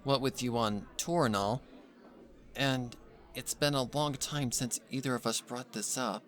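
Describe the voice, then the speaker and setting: A man voicing a gentle, earnest young pony mare who speaks softly and sincerely, in a fan fiction story reading. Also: mid-range voice, measured pace, lightly acted. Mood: hesitant, hopeful.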